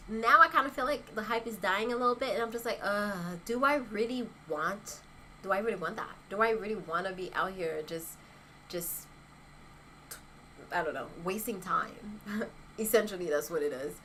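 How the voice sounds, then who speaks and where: A woman's voice: medium pitch, moderate pace, pushed and bright, slight background noise, rough recording. A young woman chatting casually on a livestream as an influencer, reading viewer comments and laughing often between rhetorical asides. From Haitian heritage.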